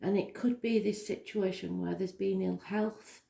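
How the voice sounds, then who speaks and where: A woman with a slightly croaky voice giving a daily tarot reading, talking softly and slowly with many pauses. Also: medium pitch, steady tone, roomy sound. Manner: slow, soft and unhurried, with pauses and fillers. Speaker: tarot reader.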